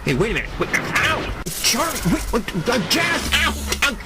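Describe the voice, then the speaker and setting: A man's voice: extremely high pitch, big pitch swings, normal speed, over loud music, voice pushed up. A man playing a flustered, startled superhero in an amateur audio drama, stammering, exclaiming and repeating himself in protest. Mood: panicked.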